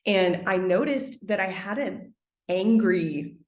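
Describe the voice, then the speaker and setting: A woman's voice: lively intonation, slow pace, reverberant. A woman talking at length as a podcast guest, thoughtful and expansive, with many "like" fillers. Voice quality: trained singer, speaks lower than her singing range. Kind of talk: conversational interview.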